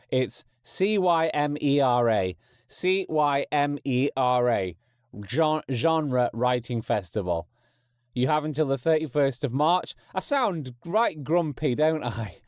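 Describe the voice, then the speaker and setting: Clear-voiced man hosting a podcast, wry and chatty. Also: on the high side, no echo, studio quality. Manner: chatty, rambling, wry, self-mocking. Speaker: podcast host. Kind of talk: informal podcast announcements.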